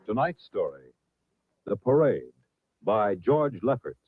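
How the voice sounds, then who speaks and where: Man, dramatic radio announcer, low, very slow, tinny vintage sound, loud noise behind. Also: very animated, breathy edge. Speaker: program announcer. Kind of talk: dramatic old radio countdown and titles.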